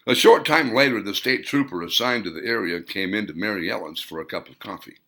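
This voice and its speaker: A man reading his own novel aloud in a measured, deliberate storytelling manner. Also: fairly low pitch, bright, forceful tone. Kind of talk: audiobook narration.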